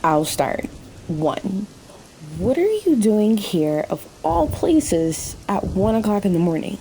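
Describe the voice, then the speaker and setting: A woman's voice: mid-range voice, very animated, slow pace, dark tone, a little hiss or hum, rough recording. A woman playing a weary, sassy night-shift waitress in a radio play, wry and talkative. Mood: wry, firm. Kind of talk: naturalistic radio play acting. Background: rain and thunderstorm ambience in a diner scene.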